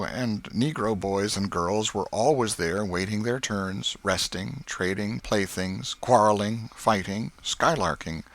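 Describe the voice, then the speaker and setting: An American man reading literary narration as an audiobook narrator, in a measured storytelling manner. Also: deep voice, fairly bright tone, dry recording.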